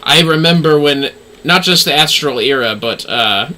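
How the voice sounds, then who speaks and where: A man's voice: on the high side, lively intonation, normal speed, bright, forceful tone, poor audio. A man giving casual, rambling livestream game commentary, swearing now and then. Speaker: game streamer.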